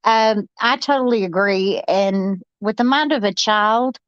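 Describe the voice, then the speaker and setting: A woman's voice: mid-range voice, unhurried, a bit bright, smooth, muffled recording. A woman reading out viewer comments and giving her opinion on them.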